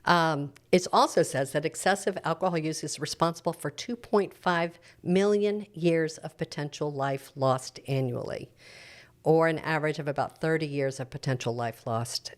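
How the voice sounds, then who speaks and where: A woman's voice: sits low, normal speed. A middle-aged American woman explaining things in an unscripted conversation as a podcast guest. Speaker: podcast guest discussing addiction recovery.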